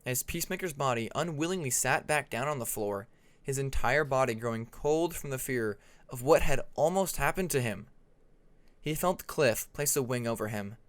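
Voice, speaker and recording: A man's voice: medium pitch, expressive, normal speed. A man reading scripted fantasy fiction as an audiobook narrator.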